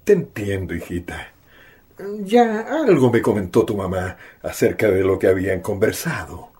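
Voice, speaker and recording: A man's voice: lowish voice, sing-song, normal speed, mellow, husky, heavily acted. A man playing a devoted, tender father in a radio drama, affectionate and coaxing in his delivery. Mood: concerned, tender.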